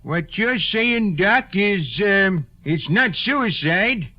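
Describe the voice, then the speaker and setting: A man's voice: high voice, lively intonation, slow pace, exaggerated voice. A man playing a folksy, plain-spoken American country sheriff in an old-time radio drama. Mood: grimly realizing. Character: folksy, slow-reasoning male country sheriff. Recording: muffled old radio broadcast.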